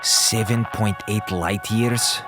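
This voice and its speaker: A man's voice: on the low side, fairly even intonation, slow pace, music in the background. An actor playing a brusque, pedantic male doctor of science in an audio drama, speaking in formal, precise phrases. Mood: grave, urgent. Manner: formal, clipped, precise diction.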